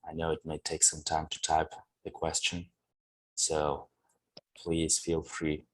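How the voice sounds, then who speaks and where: A man's voice: rumbling low, monotone, takes it slowly, rough recording. A man hosting a webinar, inviting questions and often tagging phrases with "yeah". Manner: frequent "yeah" tags and fillers. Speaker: webinar host. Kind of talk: webinar discussion.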